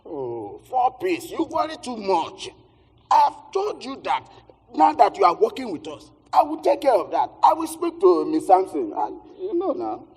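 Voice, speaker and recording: A man's voice: high-pitched, huge pitch swings, a little slow, a little mellow, slightly rough, full character voice. An actor with a West African accent playing a blustering, sweet-talking married man and shady dealer in a theatrical stage comedy-drama. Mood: insistent. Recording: echoey theatre hall, distant stage sound.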